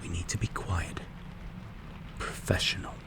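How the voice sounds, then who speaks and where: A man playing a strict, calculating mafia boss in an ASMR roleplay, speaking in a hushed, breathy, measured and menacing way. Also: heavy background noise, close to an everyday voice. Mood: calm, calculating. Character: strict, cold, calculating male mafia boss mentoring a protégé.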